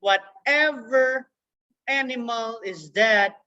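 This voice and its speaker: A woman teaching a young children's science class over a video call, repeating words slowly for the kids. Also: on the high side, pushed and bright. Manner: slow, repetitive, drawn-out words for children. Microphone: computer mic on a video call. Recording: dull lo-fi video call audio.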